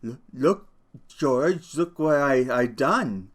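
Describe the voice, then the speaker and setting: Male reader doing a gentle simple-minded big man; halting stammer, higher than his own voice, slow. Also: lively intonation. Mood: eager, childlike. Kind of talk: audiobook character voice.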